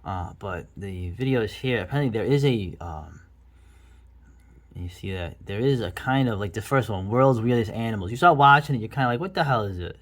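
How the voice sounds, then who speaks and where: A man's voice: on the low side, expressive, brisk. A middle-aged man giving casual, rambling, enthusiastic commentary as the host of a video review.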